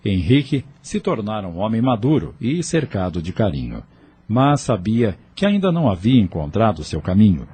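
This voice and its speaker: A man's voice: lowish voice, big pitch swings, moderate pace, warm tone, husky. A man narrating an audio novel in a scripted, dramatic storytelling style, with faint ambient noise.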